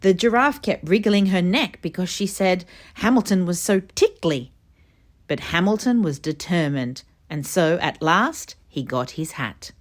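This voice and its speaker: A woman reading a children's storybook aloud, expressive and emphatic in her narration. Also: fairly low pitch, a little slow. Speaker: storybook reader.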